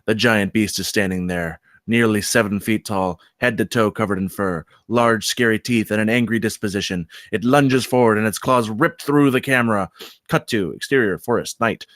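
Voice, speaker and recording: A man's voice: lowish voice, normal speed, fairly bright tone, dry recording. Man narrating a screenplay, dry sound. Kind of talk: reading screenplay stage directions aloud.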